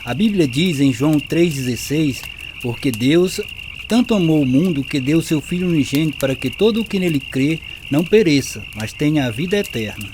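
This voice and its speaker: A man acts out a firm, earnest evangelical preacher in a radio drama. His voice is fairly high, solemn and exhorting, over clearly noisy outdoor ambience. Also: animated, normal speed, lightly acted. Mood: earnest exhortation. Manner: solemn, exhorting, scripture-quoting.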